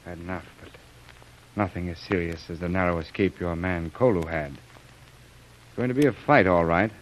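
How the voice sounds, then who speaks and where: Actor as a grave, low-voiced jungle doctor. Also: male voice, normal speed, close to an everyday voice. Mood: concerned. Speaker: doctor character. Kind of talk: old-time radio drama acting. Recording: noisy old radio recording.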